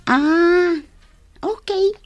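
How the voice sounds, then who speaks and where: A woman's voice: extremely high pitch, very slow, dry recording, soft background music, full character voice. A woman playing a young female store helper in a comedy radio drama, exclamatory, with sing-song questions. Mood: surprised.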